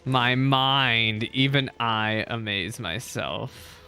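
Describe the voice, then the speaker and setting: A young man commenting on his own gameplay for his viewers, excitable and quick to complain or cheer. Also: medium pitch, faint music behind. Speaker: gaming content creator. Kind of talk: live game reaction commentary.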